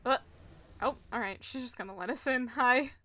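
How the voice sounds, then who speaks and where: A woman's voice: on the high side, normal speed, sharp tone, slight background noise. A young adult woman giving casual, giggly, sweary livestream commentary over a game, rambling and exclaiming. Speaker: game streamer.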